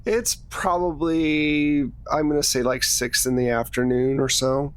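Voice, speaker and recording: A man's voice: fairly high pitch, fairly even intonation, measured pace, smooth. A man casually narrating a tabletop game as game master in a joking, easygoing way.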